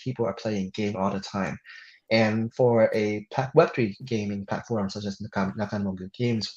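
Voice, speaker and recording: Earnest man from Thailand, roomy. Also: fairly low pitch. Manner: earnest, restarts words mid-sentence. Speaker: guest. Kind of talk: casual interview. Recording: roomy dull sound off the mic.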